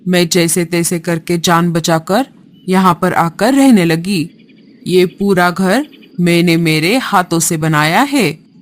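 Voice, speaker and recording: A woman voicing an elderly female bird in a cartoon, motherly and unhurried in manner. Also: fairly low pitch, animated. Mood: gentle, sorrowful. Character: old yellow bird, kindly grandmother turned gloating witch. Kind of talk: Hindi cartoon character voice.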